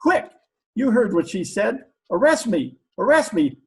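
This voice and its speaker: A man's voice: high voice, sing-song, unhurried, exaggerated voice. A man playing a hapless, dim gangster henchman in an old-time radio comedy-mystery, blunt and exclamatory. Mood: flustered.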